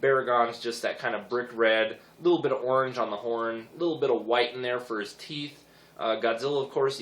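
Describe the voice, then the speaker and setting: Hoarse young guy rambling about his toys, lots of uh and you know, echoey room. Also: medium pitch, a bit bright. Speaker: toy collector reviewing figures. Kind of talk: casual review vlog.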